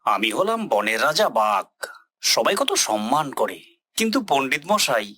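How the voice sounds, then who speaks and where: A man's voice: medium pitch, big pitch swings, moderate pace, sharp tone, no room sound. A man voicing a boastful, self-pitying tiger king in a children's cartoon, with a theatrical, wailing delivery that repeats its exclamations. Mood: humble, pleading. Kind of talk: children's animated story acting.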